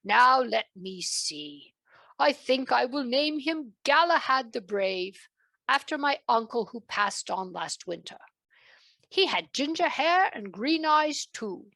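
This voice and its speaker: A woman voicing a kindly, regal King Arthur in a storybook, with a measured British accent. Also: high-pitched, sing-song, creaky, no room sound, heavily acted. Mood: thoughtful, amused. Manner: soft, measured, regal speech. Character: warm, kindly King Arthur, adult man, amused and regal.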